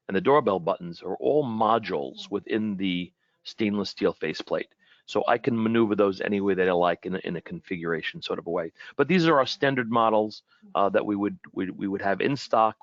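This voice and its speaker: A man giving an informal webinar product presentation in a halting way, often repeating and rephrasing his words. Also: lowish voice, no room sound, highs cut off.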